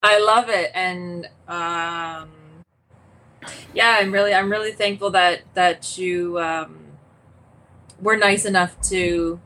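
A woman's voice: lowish voice, fairly even intonation, takes it slowly, bright, forceful tone, poor audio. A woman comedian speaking as a guest in a casual conversation, effusive and thankful toward the host.

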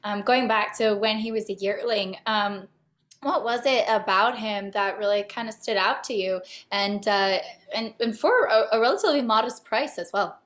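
A woman hosting a casual interview podcast, her voice hoarse and raspy from a cold, chatty and upbeat with plenty of fillers. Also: medium pitch, normal speed, fairly bright tone, no room sound. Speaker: podcast host.

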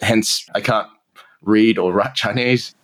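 A man's voice: fairly low pitch, fairly even intonation, slow, a bit bright. A man in his thirties with an Australian accent, a podcast guest telling his story in a candid, self-deprecating way and laughing often. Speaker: guest, marketing entrepreneur. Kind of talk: casual podcast interview.

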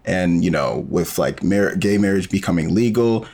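A man's voice: deep voice, expressive, moderate pace, gravelly, a little mellow. A man as a podcast guest, talking casually and rambling with many fillers. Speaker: podcast guest, audio drama creator. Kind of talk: casual interview conversation.

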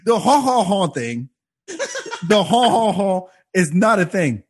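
A man who grew up in France, hosting a casual podcast and bantering with animated indignation. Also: high voice, unhurried. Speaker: podcast host.

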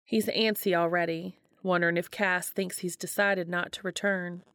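Lower-pitched woman reading a story aloud. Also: steady tone, normal speed, a bit bright, no room sound, studio quality. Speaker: fan fiction reader. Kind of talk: audiobook-style story narration.

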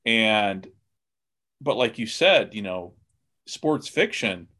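A man's voice: lowish voice, lively intonation, takes it slowly, bright, forceful tone. A man hosting a casual podcast interview, talking in a rambling, folksy way as he thinks aloud. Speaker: podcast host interviewing guests. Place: remote call on a computer.

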